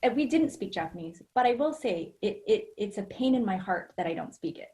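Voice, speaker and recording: Casting: American woman, middle age, mid-pitched, reflective podcast guest with an earnest storytelling manner. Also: lively intonation, brisk, a little mellow. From rural Idaho, United States. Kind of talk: personal, reflective conversation.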